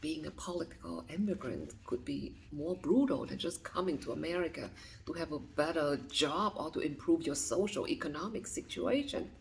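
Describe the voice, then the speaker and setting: Lady in her 50s, low gravelly voice, soft and halting, faint hiss behind. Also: big pitch swings, rough recording. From Vietnam. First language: Vietnamese. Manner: soft, hesitant, with frequent restarts and whispered phrases. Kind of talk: reading and answering interview questions.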